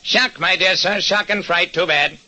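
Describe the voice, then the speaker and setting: An actor playing a shabby, evasive neighborhood doctor with formal, hesitant speech, in a vintage radio detective drama. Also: male voice, high voice, normal speed, sharp tone. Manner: formal, hesitant, throat-clearing.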